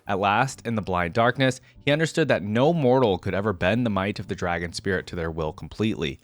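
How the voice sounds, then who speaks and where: A man's voice: on the low side, brisk, no room sound, soft background music. A man hosting a reaction video, reading game lore aloud expressively and adding casual, informal commentary.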